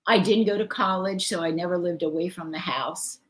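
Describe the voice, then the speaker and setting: A woman's voice: fairly low pitch, fairly quick. An older woman, a grandmother co-hosting a live show, chatting casually, laughing often and reading viewer comments aloud. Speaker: co-host, grandmother.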